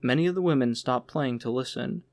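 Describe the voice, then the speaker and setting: A man's voice: medium pitch, a little fast, no room sound. A man reading literary fiction aloud as an audiobook narrator.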